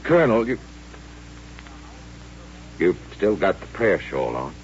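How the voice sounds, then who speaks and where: An actor playing an anxious, well-meaning frontier doctor, hesitant and pleading, in a radio western. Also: male voice, low-pitched, very animated, slow, exaggerated voice. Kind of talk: old-time radio western acting. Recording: muffled old radio recording with hum.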